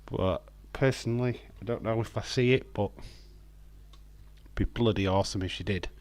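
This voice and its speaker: A man with a slightly croaky voice hosting a live stream, chatting in a rambling, friendly way with lots of hesitant fillers. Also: lowish voice, unhurried, darkish tone. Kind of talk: casual live watch-along chat, reading viewer comments.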